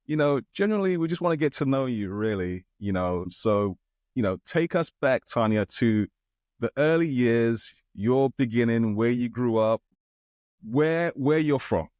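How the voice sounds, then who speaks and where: A man's voice: mid-range voice, animated, unhurried, darkish tone, dry recording. Male podcast host, friendly casual questions, dry close mic. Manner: friendly, leans on "you know" fillers.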